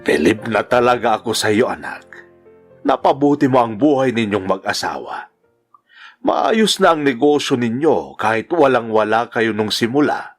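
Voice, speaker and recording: A man's voice: mid-range voice, lively intonation, normal speed, natural voice. An actor playing a kindly, proud older father in a sentimental radio drama, speaking in a heartfelt, approving way with a Filipino accent. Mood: proud, moved. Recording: music bed under the voice.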